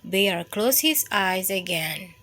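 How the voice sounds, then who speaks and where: A woman reading a children's bedtime story as an expressive narrator. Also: medium pitch, takes it slowly.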